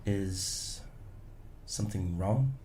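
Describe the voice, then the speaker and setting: An actor playing a steady, determined security agent, businesslike and dryly pointed, in a science fiction audio drama. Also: male voice, low voice, drawn-out words, far from the mic, slight background noise. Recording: roomy echo, music underneath.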